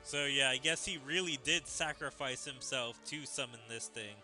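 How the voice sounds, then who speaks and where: A man's voice: mid-range voice, normal speed, bright, forceful tone. A man giving casual commentary as he plays through a story game, with game music behind him. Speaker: gaming streamer reading story dialogue aloud.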